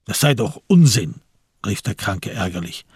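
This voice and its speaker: Husky male narrator, very dry sound. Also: on the low side, big pitch swings, moderate pace, darkish tone, no room sound. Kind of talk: literary radio drama narration, scripted.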